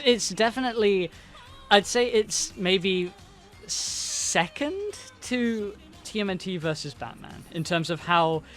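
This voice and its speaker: A man's voice: fairly high pitch, a bit bright. A young man co-hosting a casual movie review chat, rambling with many fillers and restarts. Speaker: podcast co-host.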